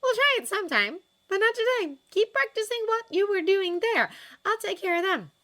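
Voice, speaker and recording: A woman's voice: very high-pitched, very animated, normal speed, sharp tone, voice pushed up, heavily acted. A woman voicing a bold, probing young woman dragon rider, assertive and teasing, in a story reading. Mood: firm.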